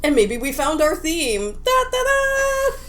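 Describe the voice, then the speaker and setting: Playful high-voiced woman co-host. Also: wildly swooping pitch, slow, a bit bright. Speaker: podcast co-host. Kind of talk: casual chat about a video game.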